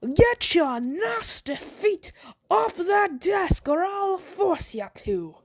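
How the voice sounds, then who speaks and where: A woman voicing an angry, bossy schoolboy in a story, scolding slowly and emphatically with a put-on Irish accent. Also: very high-pitched, very animated, voice pushed up, exaggerated voice. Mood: threatening. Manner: indignant, scolding, slow emphatic delivery.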